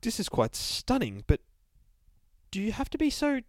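A man voicing a fussy, polite male storybook narrator in a high, prim falsetto. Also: wildly swooping pitch, moderate pace, a little mellow, dry recording, clean studio sound, heavily acted.

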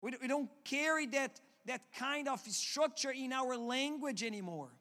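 High-voiced Portuguese-accented preacher. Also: male voice, moderate pace, bright, forceful tone. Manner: earnest, repeats and restarts words. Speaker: pastor. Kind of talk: sermon. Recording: long hall reverb.

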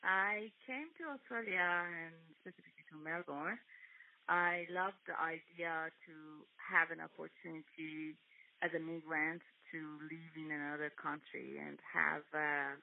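Young Iranian woman, Persian accent, gravelly and hesitant, heard over a tinny phone line. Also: low voice, steady tone. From Iran. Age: young adult. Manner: hesitant, many pauses and fillers. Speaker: guest. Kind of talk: telephone interview.

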